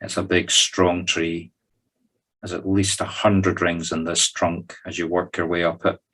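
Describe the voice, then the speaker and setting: A man's voice: low-pitched, completely flat delivery, measured pace, a bit bright. A Scottish man leading a guided meditation, talking listeners through each step in an informal, conversational way. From Scotland. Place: recorded in a group video call.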